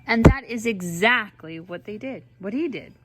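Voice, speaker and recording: A woman reading a picture book aloud to her small children as their mother, playfully exclaiming and chatting with them between lines in drawn-out, encouraging reactions. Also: medium pitch, animated. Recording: noisy home recording with clear background noise.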